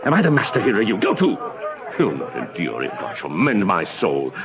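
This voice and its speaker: Blustering lord, swooping low voice. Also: male voice, huge pitch swings, moderate pace. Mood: stern, scolding. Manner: blustering, commanding. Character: domineering older uncle and lord, genial host, quick to scold. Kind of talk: Shakespearean radio drama acting. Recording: party crowd chatter behind.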